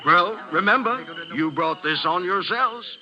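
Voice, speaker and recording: Smug pointed professor man, old radio drama, highish voice, muffled sound. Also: expressive, measured pace. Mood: scornful. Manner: condescending, pointed, theatrical. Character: smug professor exposing tricks, secretly a stage magician. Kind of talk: 1940s radio drama acting. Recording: noisy, muffled 1940s radio recording.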